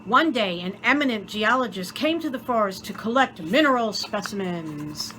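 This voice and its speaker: A woman reading a children's story aloud in an expressive, theatrical way, with deliberate dramatic pauses. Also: mid-range voice, slight background noise, low-quality recording. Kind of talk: expressive scripted story narration.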